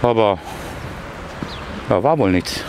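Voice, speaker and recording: A man's voice: lowish voice, lively intonation, slow, recorded from a distance, background noise. Chatty slangy man filming his road trip. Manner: slangy and chatty, often says "Alter" and "Schatz". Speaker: vlogger. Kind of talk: casual travel vlog chat. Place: inside a car, then outdoors by a river, then in a restaurant.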